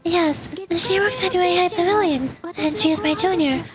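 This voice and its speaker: A dutiful young secretary, read by a woman, answers politely and with composure. Her voice is high and earnest, with game music running underneath. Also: normal speed. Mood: composed.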